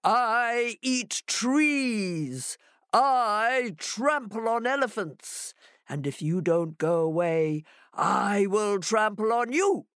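A woman voicing a threatening monster in a storybook tale, with a big booming voice and drawn-out menacing words. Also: expressive, a bit bright, no room sound, studio quality. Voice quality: large, booming voice. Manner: slow, drawn-out menacing delivery. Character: booming, threatening mystery monster hiding in a house.

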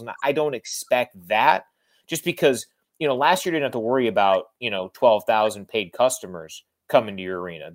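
An American man speaks as a sports broadcaster being interviewed. His voice sits at a middle pitch. He reasons aloud in a conversational, emphatic way, doubling back on words as he goes. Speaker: sports radio play-by-play broadcaster, interview guest.

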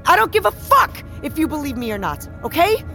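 A woman's voice: high-pitched, big pitch swings, fairly quick, bright, forceful tone, faint music behind, exaggerated voice. An actress playing a desperate woman in an audio drama, pleading with breathless, insistent urgency.

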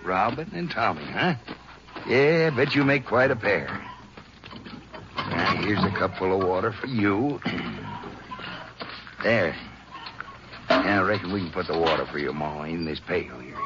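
An actor playing a friendly, folksy rancher in a 1950s radio western, with an avuncular drawl. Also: male voice, sits low, lively intonation, unhurried, pauses often. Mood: genial, curious. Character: folksy, friendly unshaven rural Texas rancher. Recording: dull, noisy old 1950s radio transcription.

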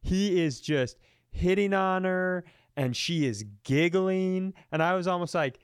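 A man with a smooth, resonant voice recorded very dry tells casual comic stories as a podcast host. He is animated and profane, leaning into exaggerated disbelief as he banters. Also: high voice, a little slow, dry recording. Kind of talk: casual comedic storytelling banter.

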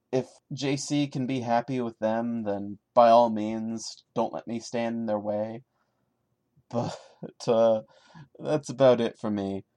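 A man's voice: fairly low pitch, mellow. A man hosting a podcast, giving casual commentary on his own in an emphatic, mock-exasperated manner. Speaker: podcast host. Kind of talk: casual solo commentary and dramatic reading.

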